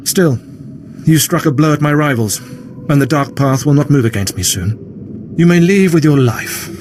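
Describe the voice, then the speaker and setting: An actor playing a pompous, menacing Sith lord in a video game, grand and imperious in manner. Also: male voice, fairly high pitch, lively intonation, moderate pace, slight background noise, lightly acted. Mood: cold, commanding. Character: pompous self-proclaimed Sith lord ruling a jungle temple. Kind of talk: theatrical video game voice acting. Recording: loud music behind the voice.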